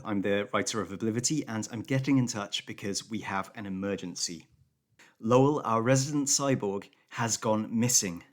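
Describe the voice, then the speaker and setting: A man, a comedy podcast writer, giving a tongue-in-cheek scripted announcement in a deadpan manner. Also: fairly low pitch.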